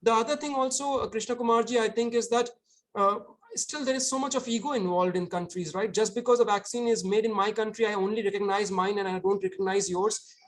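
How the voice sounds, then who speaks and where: A man's voice: high voice, steady tone, brisk. A man, an author, answering audience questions at an online book talk, earnest and explanatory, often repeating words as he thinks.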